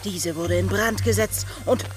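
A woman's voice: medium pitch, a little slow, lightly acted. An actress playing a daring young female pirate who passes as a lad, in a German radio play. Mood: excited, scheming. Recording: music behind the voice.

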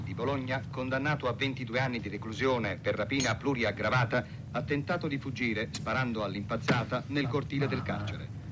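A male reporter reads a formal scripted bulletin at a medium pitch. The recording is clearly noisy and rumbling, like an old radio broadcast. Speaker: news reporter.

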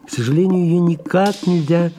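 A man's voice: high-pitched, normal speed, natural voice. A man playing a devoted, fussy and deferential secretary to an ageing diva in an audio play, with a hesitant, exasperated manner. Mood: anxious, pleading. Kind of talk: audio play acting with read stage directions. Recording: clearly noisy archive radio recording.

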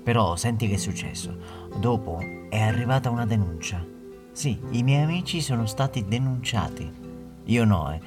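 A man's voice: lowish voice, lively intonation, moderate pace, distant mic, lightly acted. A man playing an ordinary protester, telling his story as a colloquial theatrical monologue addressed straight to listeners. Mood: wry, confiding. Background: plucked guitar music.

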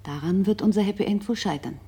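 A woman's voice: medium pitch, fast talker, dark tone, slightly rough. An actress playing a self-assured, talkative woman with an ironic, pointed way of speaking in a naturalistic radio drama. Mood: wry, talkative. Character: self-assured, sardonic, independent older girlfriend of a runaway man.

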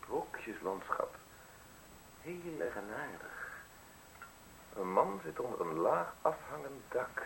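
An actor playing a sly, falsely modest male visitor in a radio play, speaking in deliberate, ornate, theatrical phrases with pauses. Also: mid-range voice, big pitch swings, creaky, full character voice. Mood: dreamy, wry. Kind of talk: Dutch radio play acting. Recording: hissy radio drama recording.

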